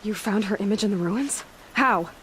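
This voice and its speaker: An actress playing a determined young huntress in a video game, serious and measured, stern yet compassionate. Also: female voice, medium pitch, fairly bright tone, slight background noise, close to an everyday voice, rough recording. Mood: stern, questioning. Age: young adult. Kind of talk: dramatic video game acting.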